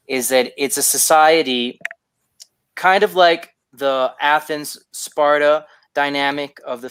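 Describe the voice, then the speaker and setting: A man being interviewed as a writer, giving thoughtful, explanatory answers in a halting conversational way, repeating words as he forms his thoughts. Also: mid-range voice, a bit bright, smooth, no room sound. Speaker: guest, fiction writer.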